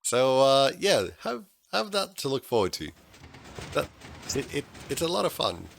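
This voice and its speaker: A man, slightly hoarse because he is losing his voice, talking through a game-building tutorial in a chatty way with frequent restarts. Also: medium pitch, big pitch swings, unhurried, slight background noise. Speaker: gaming tutorial host. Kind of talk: casual game tutorial voice-over.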